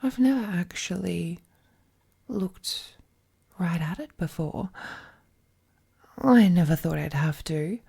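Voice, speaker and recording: A woman playing an ancient, all-powerful female captor, possessive and lovesick, in an intimate villainous audio roleplay with a hushed, menacingly tender delivery. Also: on the low side, lively intonation, takes it slowly, gravelly, long pauses, breathy edge, exaggerated voice. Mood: curious, menacing. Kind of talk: scripted audio roleplay, intimate dramatic monologue.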